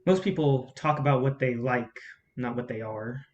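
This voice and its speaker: A man's voice: medium pitch, normal speed, darkish tone, dull audio, close to an everyday voice. Guy acting young cynical man in a stage drama, curious and smitten, natural delivery. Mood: probing, playful. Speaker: actor in a staged play reading. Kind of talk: naturalistic staged play reading.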